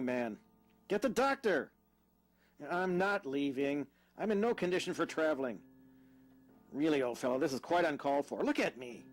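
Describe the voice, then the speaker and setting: An actor playing an earnest, polite young husband in an audio drama, slightly formal and easily exasperated. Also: male voice, a little high. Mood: alarmed, indignant. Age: young adult.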